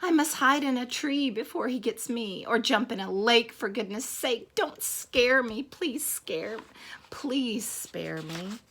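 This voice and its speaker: Woman playing an animated, dramatic storybook elephant. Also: high-pitched, slow, voice pushed up, heavily acted. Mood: panicked. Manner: exclaiming, dramatic, repeating words. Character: sad, apologetic big elephant, easily frightened and giggly. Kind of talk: animated children's storybook read-aloud.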